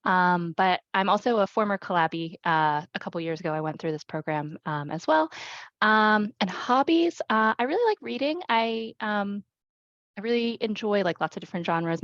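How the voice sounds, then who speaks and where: A woman casually introducing herself on a group call, in a hesitant, halting manner. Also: mid-range voice, dry recording. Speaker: coding program participant. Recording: dull, dry call audio.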